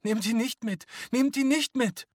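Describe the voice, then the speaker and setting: Man voicing a frantic, high-pitched pleading mother. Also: a little slow, dry recording, clean studio sound. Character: desperate, terrified mother pleading for her children. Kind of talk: audiobook character voice.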